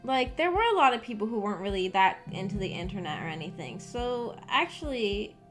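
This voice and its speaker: A woman's voice: mid-range voice, very animated, brisk, fairly bright tone. A young adult woman chatting casually to livestream viewers as a VTuber streamer, in an informal, rambling and hesitant way. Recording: background music under the voice. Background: background music.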